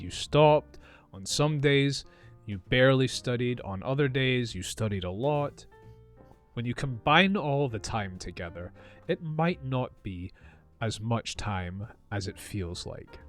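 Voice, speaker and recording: Male English teacher, mild Scottish accent, very slow and deliberate, mid-pitched, soft guitar underneath. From northeast Scotland. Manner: calm, careful, deliberate explanation. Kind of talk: slow, clear teaching monologue.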